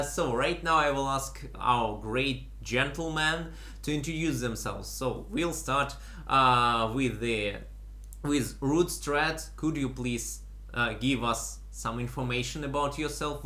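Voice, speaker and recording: Male host, slow and hesitant, lots of fillers. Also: mid-range voice, sharp tone. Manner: halting, many fillers, frequent laughs. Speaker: stream host. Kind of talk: casual live-stream chat.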